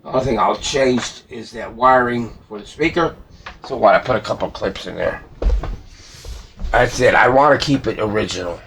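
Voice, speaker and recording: A man's voice: medium pitch, moderate pace, gravelly, a bit bright. A man in a hobby workshop talking casually to himself as he works, folksy and given to mild swearing, like a repair hobbyist at the bench. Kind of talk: casual muttered talk while working at the bench. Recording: noisy workshop, clicks and handling sounds.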